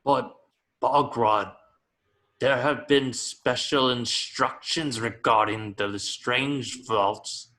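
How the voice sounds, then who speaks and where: A man's voice: medium pitch, steady tone, takes it slowly, sharp tone. A man playing a dutiful, deferential goblin bank subordinate in a table read, careful and hesitant in manner. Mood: cautious. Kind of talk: amateur screenplay table read.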